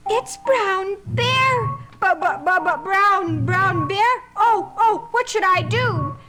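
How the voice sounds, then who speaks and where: A woman voicing an excitable, clumsy young male rabbit who stammers when flustered. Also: sits high, measured pace. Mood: panicked. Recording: loud music underneath.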